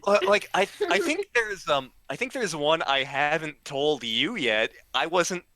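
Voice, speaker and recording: A man telling humorous anecdotes casually, in a rambling, halting and giggly way. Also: fairly high pitch, very animated, pushed and bright, no echo. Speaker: storyteller, regular of the show.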